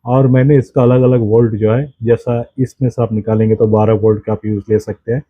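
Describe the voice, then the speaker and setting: A man with an Indian accent giving an unscripted product demonstration, explaining in a halting way and often repeating or correcting himself. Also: mid-range voice, flat delivery, highs cut off. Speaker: presenter of a radio hobby channel.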